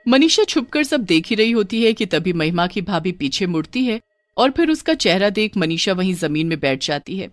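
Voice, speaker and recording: A woman's voice: medium pitch, big pitch swings, moderate pace. Woman narrating a spooky children's story. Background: music.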